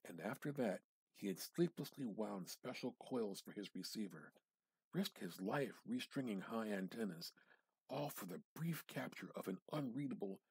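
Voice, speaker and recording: A man giving a measured, theatrical reading of a literary short story, drawing out words and pausing for effect. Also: on the low side, expressive, slightly rough, no room sound, rough recording. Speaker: amateur reader practicing dramatic reading.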